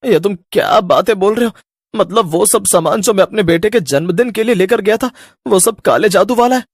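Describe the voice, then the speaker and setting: A man's voice: high voice, brisk, no room sound, clean studio sound. A man playing an anxious, bewildered husband and father in a cartoon horror story, with exclamatory delivery. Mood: shocked, pleading. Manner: exclamatory, worried, puzzled.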